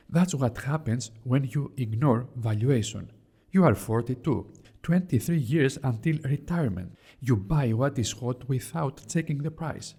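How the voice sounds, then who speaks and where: A man's voice: medium pitch, darkish tone, slightly rough. A man with a Greek accent, narrating a scripted explainer on an investing book in plain, direct words. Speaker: host of investing book series.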